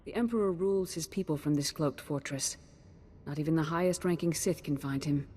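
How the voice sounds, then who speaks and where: A woman's voice: low voice, a little fast, darkish tone, close to an everyday voice. A grave, composed woman leading an order of Jedi played by an actress. It is game cutscene acting in a reverberant hall, with faint ambient noise. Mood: composed, authoritative. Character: composed, grave female Jedi grand master.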